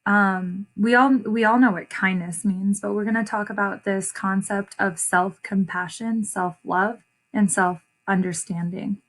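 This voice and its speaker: A woman reading a picture book aloud like a teacher, deliberate and expressive, pausing to explain and repeat phrases for young listeners. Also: mid-range voice. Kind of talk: children's read-aloud with short explanations.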